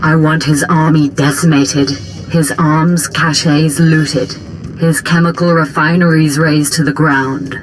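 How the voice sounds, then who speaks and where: A woman playing a smooth, ambitious imperial diplomatic operative in a video game, speaking in formal, polished, deferential phrases. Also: deep voice, little pitch movement, takes it slowly, faint music behind, poor audio. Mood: stern, vengeful. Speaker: imperial special operations chief. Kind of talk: dramatic video game dialogue.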